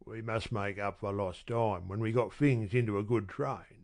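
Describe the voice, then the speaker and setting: A woman reading an audiobook, voicing a gruff, menacing male robber in a lower, louder voice. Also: fairly even intonation, normal speed. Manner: gruff, threatening.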